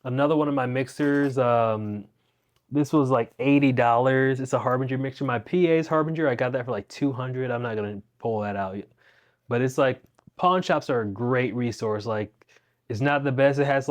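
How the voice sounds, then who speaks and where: A young man giving a casual, rambling rundown of his music gear at home, speaking into a wireless clip-on mic. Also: mid-range voice. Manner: laid-back, rambling, slangy. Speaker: guitar teacher and channel host. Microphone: wireless clip-on mic into phone.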